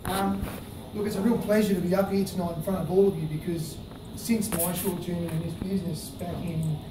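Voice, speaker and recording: Aussie guy talking fast to a crowd, loud voice, mic kinda far off, noisy echoey room. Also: high-pitched, steady tone. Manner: loud voice, friendly and rambling with many fillers. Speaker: business presenter. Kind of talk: speaking at a meeting. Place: packed meeting room. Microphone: handheld microphone held away.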